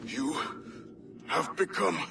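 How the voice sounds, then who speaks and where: Slow, bitter old assassin, distant. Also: male voice, medium pitch, very animated. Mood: bitter, grave. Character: bitter, condemning older male assassin making a last stand. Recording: distant voice with clear background noise.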